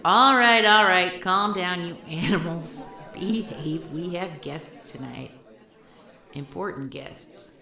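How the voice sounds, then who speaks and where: Wry ex-professor type, low gravelly voice, dry and bossy, a woman playing her. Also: slow, bright, forceful tone, exaggerated voice. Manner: dry, teasing, takes charge, swears casually. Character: wry, self-assured disgraced former woman professor. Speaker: historical society leader. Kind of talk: scripted audio roleplay.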